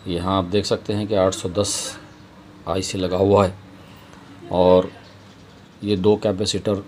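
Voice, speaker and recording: A man presents an informal repair tutorial in a low voice. His delivery is flat and even, moving step by step as he addresses viewers. The home-made recording has some noise behind him. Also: slow.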